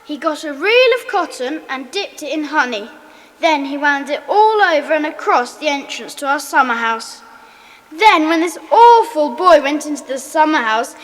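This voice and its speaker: A woman's voice: high-pitched, a bit bright, low-quality recording. A woman playing a scheming, matter-of-fact child in a children's club audio drama.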